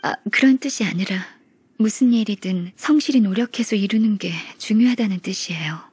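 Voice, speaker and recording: A woman voicing a gentle, earnest young immortal's disciple in a video game, polite, soft-spoken and formal. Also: medium pitch, fairly quick, slightly rough. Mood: earnest, admonishing.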